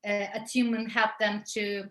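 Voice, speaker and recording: A woman from Turkey with a Turkish accent, earnestly asking a question in a workshop over a video call, pausing with fillers. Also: medium pitch, flat delivery, fairly bright tone. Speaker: workshop participant. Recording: echoey room.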